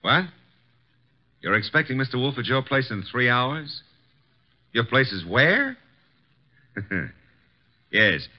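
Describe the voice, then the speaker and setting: An actor playing a breezy, sardonic detective's assistant and narrator in a 1950s American radio drama, slangy and teasing. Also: male voice, medium pitch, sing-song, slow pace, long pauses. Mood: wisecracking, teasing. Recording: dull, noisy 1950s radio recording.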